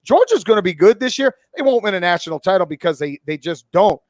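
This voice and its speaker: A man's voice: high-pitched, expressive, fast talker, dry recording, studio quality. A man in his forties talking sports casually as a pundit, blunt and opinionated, swearing freely. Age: 40s. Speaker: college football pundit and podcast guest.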